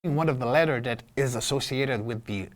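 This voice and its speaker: Male creature-voice specialist, mid-range voice, talking through his process informally with searching, stop-start phrasing. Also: mid-range voice. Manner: halting, repeats words as he searches. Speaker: vocal performer and creature-voice specialist. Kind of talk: explanatory making-of interview.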